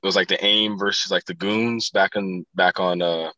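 A man's voice: deep voice, fairly even intonation, normal speed, pushed and bright, dry recording, highs cut off. A laid-back man chatting casually, rambling through family stories and laughing often. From South Dakota. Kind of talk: casual chat.

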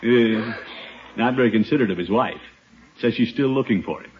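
An actor playing a pompous, blustering middle-aged American bachelor uncle in a 1950s radio situation comedy. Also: male voice, lowish voice, fairly quick, a little hiss or hum, natural voice. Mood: chummy, amused. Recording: dull old 1950s radio recording.

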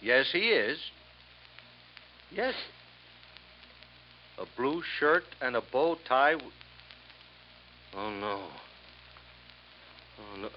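An actor playing a crooked, cornered merchant in 1950s radio drama style, stammering and repeating himself. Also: male voice, medium pitch, sing-song, extremely slow, long pauses. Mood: smooth, then flustered. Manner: stammering, pleading, repetitive. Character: crooked, cornered merchant, wheedling then explosively bitter. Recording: muffled, noisy 1950s radio recording.

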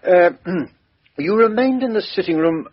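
An actor playing a calm, methodical male police chief inspector who questions in a measured, coolly ironic way, in a 1950s radio drama. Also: sits high, slightly rough, exaggerated voice. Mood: probing. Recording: dull lo-fi old radio recording.

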